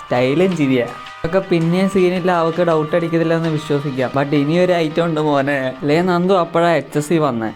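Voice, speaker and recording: A man telling roleplay gaming stories in a colloquial, wry, self-mocking voice-over. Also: a little high. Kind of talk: storytelling voice-over for gameplay video.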